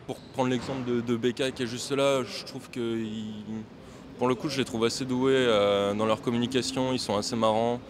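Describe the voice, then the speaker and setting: A man's voice: mid-range voice, fairly even intonation, moderate pace, a crowd in the background. A man in his twenties giving casual opinions in a street interview. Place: outdoors on a city street. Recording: clear urban street noise, traffic.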